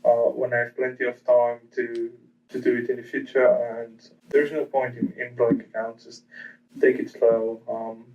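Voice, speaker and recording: A man's voice: medium pitch, level tone, moderate pace, background noise. Hesitant man on a dull, distant mic. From Portugal. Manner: hesitant, many ums and restarts. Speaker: guest trader. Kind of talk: casual interview answers.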